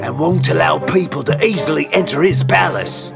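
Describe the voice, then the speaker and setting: An actor playing a proud, earnest young brother in a fairy tale, with a very creaky voice. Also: male voice, a little high, moderate pace. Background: clear music.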